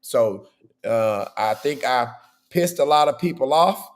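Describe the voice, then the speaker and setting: A man hosting a podcast in a casual, chatty way. His voice is hoarse and worn, and he keeps checking in with "okay?". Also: medium pitch, animated, measured pace. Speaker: podcast host.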